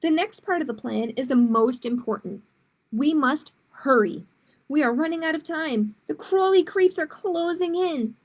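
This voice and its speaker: A woman's voice: sits high, normal speed, pitched above the performer's own voice, lightly acted. A woman voicing a small, nervous young storybook dinosaur who tries to be brave, in an earnest, dramatic way. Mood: frightened, urgent.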